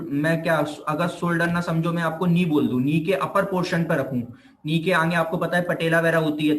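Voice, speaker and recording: Indian guy lecturing casually, kinda high voice, repeats stuff and checks you get it, echoey room. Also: a bit bright. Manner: repeats himself, checks understanding with tags. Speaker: physiotherapy teacher. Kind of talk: informal explanatory lecture. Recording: roomy echo on the voice.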